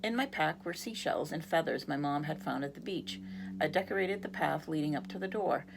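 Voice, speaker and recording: A woman's voice: sits low, brisk, gravelly, background noise. A woman reading a picture book aloud expressively, now and then speaking to young listeners. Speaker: reader.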